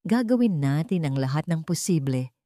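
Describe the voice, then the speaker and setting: A woman's voice: low-pitched, expressive, normal speed, dark tone, no echo, clean studio sound. A woman voicing a grave, frank male pediatrician in an audio story, explaining formally. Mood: urgent, serious.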